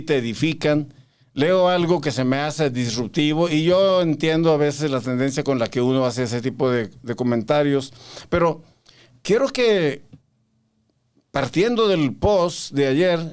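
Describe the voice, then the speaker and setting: Male podcast host, smooth clear tone, conversational and probing. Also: on the high side, a little mellow. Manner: probing, interrupts to challenge. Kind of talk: casual conversational interview.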